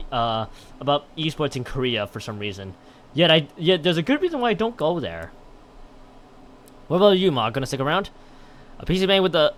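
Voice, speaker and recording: A man's voice: fairly high pitch, fairly bright tone. A man commentating on a game stream and reading game text aloud in a rambling way, often stumbling and correcting himself. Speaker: live streamer.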